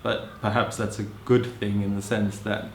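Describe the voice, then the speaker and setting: A man in his thirties who grew up in Hong Kong, speaking as an interviewee in a thoughtful, musing way, often adding "you know" and soft laughs. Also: fairly low pitch, flat delivery, normal speed, vocal fry, a little hiss or hum. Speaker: interviewee, social anthropologist. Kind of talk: reflective personal account. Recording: roomy echo.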